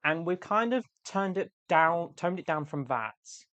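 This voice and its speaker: Woman, livestream co-host, very low voice, short dry remarks, no room sound. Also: animated, measured pace, fairly bright tone, dry recording. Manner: brief, dry interjections. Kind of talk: casual live chat.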